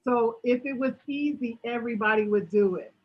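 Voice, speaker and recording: A woman with a strong, fairly high voice and a husky edge speaks in an emphatic, animated way as she answers audience questions in a motivational session. The audio is dull and lo-fi, like an online call. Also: normal speed. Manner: emphatic, animated, repeats "right?". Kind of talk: motivational Q&A talk.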